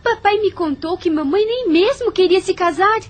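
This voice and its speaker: A woman's voice: very high voice, moderate pace. A woman voicing a young sister in an audio drama, questioning and emotional. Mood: worried. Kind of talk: radio drama acting.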